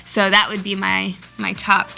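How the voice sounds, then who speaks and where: A woman's voice: medium pitch, monotone, creaky, pushed and bright, faint music behind. A young woman co-hosting a church livestream, upbeat and chatty, reading out viewer comments. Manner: upbeat, reads viewer comments aloud, quick agreeing replies. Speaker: co-host. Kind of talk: casual livestream chat.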